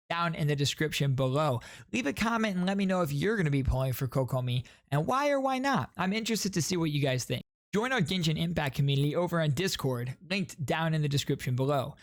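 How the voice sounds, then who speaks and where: Male gaming host, slightly high voice, informal explanatory delivery, very dry close-miked sound. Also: no room sound. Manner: informal, addresses viewers as guys. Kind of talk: explanatory game commentary to viewers.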